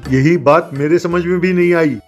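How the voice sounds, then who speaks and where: A man voicing an earnest, persuasive village tradesman in an animated comedy story. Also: on the high side, normal speed, faint music behind, close to an everyday voice. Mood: resigned. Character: earnest village utensil seller turned trendy barber.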